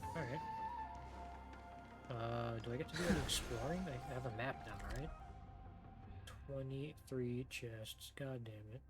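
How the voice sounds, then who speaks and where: A man's voice: mid-range voice, a little slow, pauses often. A man doing casual game playthrough commentary, thinking aloud in an informal, sweary way and reading on-screen text. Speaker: game streamer. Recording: game music behind the voice. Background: video game music.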